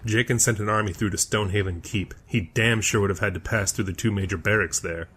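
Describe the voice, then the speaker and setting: A man's voice: fairly low pitch, fairly even intonation, fast, a bit bright, close to an everyday voice. A man voicing a caring, burdened older brother in a fantasy audio story, speaking earnestly. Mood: determined.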